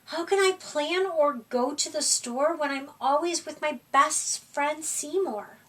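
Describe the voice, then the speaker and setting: A woman voicing an eager young male cat from a picture book, with an excited storybook delivery. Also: high-pitched, a little slow. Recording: voice from far off the mic.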